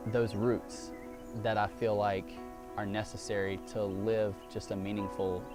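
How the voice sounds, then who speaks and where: A man's voice: on the low side, little pitch movement, measured pace. A young man from Alabama with a Southern accent, a graduate counseling student telling a story in a documentary interview, earnest and reflective, with lots of "like" and "you know". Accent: Southern American. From Alabama, United States. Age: young adult. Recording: music playing behind the voice.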